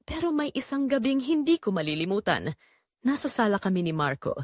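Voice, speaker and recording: A woman's voice: a little high, big pitch swings, fairly quick, dry recording. Actress as wronged married daughter, female storyteller for audio drama. Emotive and confiding, widely swinging intonation, bone-dry recording. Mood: uneasy, suspicious. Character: betrayed married daughter confiding a painful family secret. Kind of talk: first-person dramatic storytelling narration.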